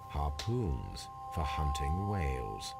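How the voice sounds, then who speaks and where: Low-voiced English gentleman detective. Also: very animated, over loud music, heavily acted. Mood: musing, observant. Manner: measured, precise, analytical. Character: keen, composed gentleman detective, male, Victorian London. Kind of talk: video game dramatic acting. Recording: clear background noise and ambience.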